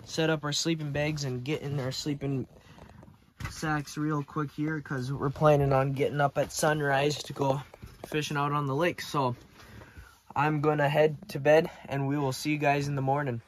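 A young man casually vlogging a winter camping and ice fishing trip, easygoing and excitable. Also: on the high side, moderate pace, slight background noise. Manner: easygoing, excitable when fish are caught. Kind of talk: casual outdoor vlog. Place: ice-fishing tent and frozen lake.

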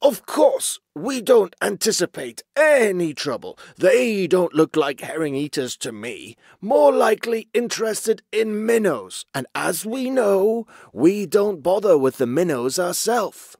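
A man's voice: high-pitched, big pitch swings, a little slow, gravelly, hardly any pauses, darkish tone, slightly rough, no room sound, studio quality, pitched above the performer's own voice, heavily acted. A man voicing a timid, nervous walrus underling in a storybook, anxious, hesitant and eager to placate his chief. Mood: nervously reassuring.

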